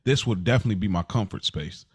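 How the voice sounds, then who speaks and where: Animated emphatic male podcast co-host. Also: lowish voice, fast, breathy edge, no echo, clean studio sound. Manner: animated, emphatic, talks in bursts. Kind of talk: casual podcast chat.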